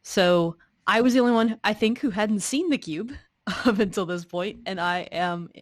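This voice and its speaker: A woman's voice: medium pitch, normal speed, no echo, studio quality. A woman co-hosting a stream, chatting casually about what she does. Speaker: co-host and player. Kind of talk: casual chat, self-introduction.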